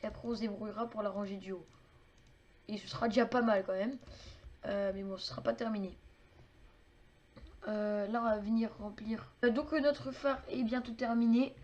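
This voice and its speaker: A woman's voice: mid-range voice, steady tone, normal speed, pauses often, darkish tone, lo-fi sound. A woman narrating a casual game tutorial while building, chatty and full of fillers and little clicking sounds.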